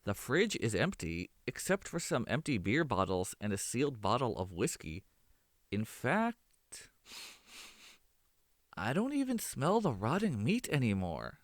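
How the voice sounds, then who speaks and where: A man's voice: medium pitch, wildly swooping pitch, unhurried, no echo, studio quality. A man playing a practical, puzzled young friend in a horror audio drama.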